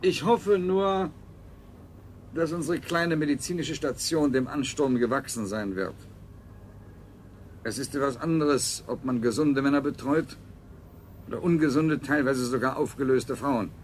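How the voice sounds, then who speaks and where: An actor playing a clinical scientist in a science fiction radio play, formal and matter-of-fact. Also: male voice, mid-range voice, unhurried, pauses often, darkish tone, lightly acted. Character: clinical, formal male scientist leading survivors. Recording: faint noise, 1970s radio production.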